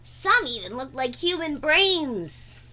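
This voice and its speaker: A woman's voice: high voice, big pitch swings, slow, pushed and bright, rough recording. A woman reading a children's storybook aloud expressively, drawing out words for dramatic emphasis. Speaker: read-aloud narrator.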